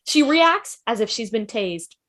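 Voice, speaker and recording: Casting: woman narrator for script table read, very high voice, scene-setting delivery. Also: big pitch swings, measured pace, a bit bright. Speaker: stage-direction reader.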